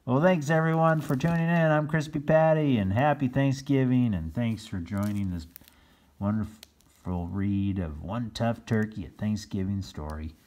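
A man narrating a children's storybook aloud in an expressive read-aloud style. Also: mid-range voice, unhurried. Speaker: storybook reader.

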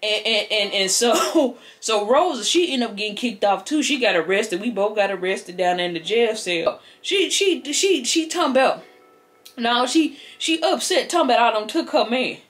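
Lady acting as rambling old american granny, kinda high voice, sassy, keeps repeating words, skit storytelling. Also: expressive, moderate pace, fairly bright tone. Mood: rambling, sly. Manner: stammering, repeats words, folksy. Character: rambling, sassy old grandmother telling tall tales. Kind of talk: improvised comedic storytelling. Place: living room couch, indoors. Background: background music.

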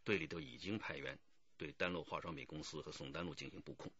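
An actor playing a decisive, authoritative police detective chief giving orders in a radio drama. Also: male voice, very deep voice, quick pace, pushed and bright, husky, dry recording, highs cut off, heavily acted.

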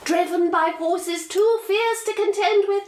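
An actress playing a haughty, effusive amateur thespian with a British accent and an affected, pompous, stagey delivery. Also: female voice, very high voice, a little slow, reverberant, very noisy. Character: precious, gushing luvvie actress, haughty and effusive. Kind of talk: stagey comic radio play dialogue.

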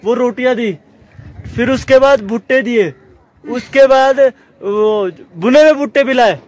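A young man chatting excitedly to viewers while camping on a mountain meadow, filmed on an action camera. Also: very high-pitched, a little slow. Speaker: travel vlogger. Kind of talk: casual camping vlog. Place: outdoors on a mountain meadow by a campfire.

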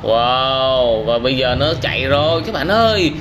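A man's voice: medium pitch, expressive, measured pace, over music. A man in his thirties giving exclamatory, simple storytelling commentary on game footage, as if for children. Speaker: host of gaming reaction videos for children. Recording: noisy, car engine sounds behind.

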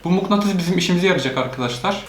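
A woman's voice: on the low side, expressive, fast talker. A woman casually commentating on a video game as she plays with a child, often prompting with questions. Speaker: gaming video host playing with a child. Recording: roomy echo, faint background noise.

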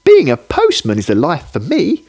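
A man's voice: on the high side, huge pitch swings, normal speed, darkish tone, voice pushed up, heavily acted. A man voicing a small, earnest little boy in a children's storybook, eager and sincere. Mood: earnest admiration.

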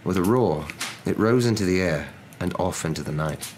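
Young male storybook narrator, ASMR style, very low register, faint noise behind. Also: lively intonation, normal speed. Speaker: narrator reading a novel aloud. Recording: noticeable background noise.

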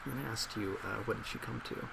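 Guy in his 20s doing soft asmr psychologist roleplay, super gravelly fry, loud laptop fan whirring. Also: on the low side, fairly quick, background noise. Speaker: psychologist in a role play. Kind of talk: soft-spoken ASMR role play. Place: small office, indoors.

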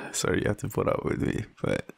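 An Australian man playing an overwhelmed, devoted, self-deprecating partner in an intimate audio roleplay, speaking haltingly with sighs. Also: close to an everyday voice. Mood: overwhelmed, tearful. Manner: halting, hesitant, sighing.

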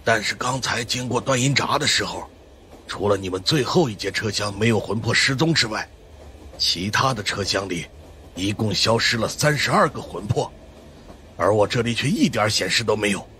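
A man's voice: lowish voice, expressive, moderate pace, sharp tone, slight background noise. A man voicing a stern, knowing older monk consultant in a ghost-story audiobook, grave and explanatory. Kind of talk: audiobook character acting.

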